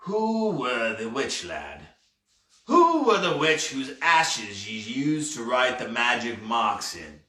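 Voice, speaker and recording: A man acts out a ghostly pirate captain for a storybook, burly, sly and full of bluster. He drags out his archaic seafaring phrases very deliberately, with a bright, forceful edge. The sound is roomy and set back from the mic. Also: fairly high pitch, expressive, full character voice. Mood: suspicious, probing. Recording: roomy, echoey, distant mic.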